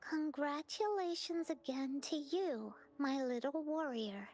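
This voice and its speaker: A woman voicing a gentle young female companion in an anime game, reading riddles softly like a storybook. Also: sits high, takes it slowly. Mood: gentle, solemn. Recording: music under the voice.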